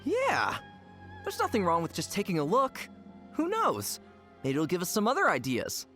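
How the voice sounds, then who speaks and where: A man's voice: sits high, huge pitch swings, normal speed, pushed and bright, faint music behind, full character voice. A man voicing a cheeky, scheming young gang underling in a video game, speaking informally and emphatically. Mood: persuading.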